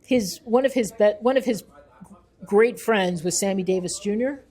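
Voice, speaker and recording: A woman's voice: medium pitch, moderate pace, warm tone. A woman speaking as an interview guest and researcher, earnest and emphatic, repeating phrases for weight. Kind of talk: thoughtful spoken interview.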